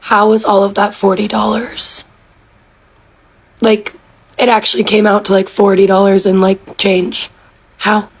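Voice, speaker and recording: A woman who has lost her voice, talking hoarsely in a casual, exasperated shopping vlog. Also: medium pitch, level tone, measured pace, pauses often, poor audio.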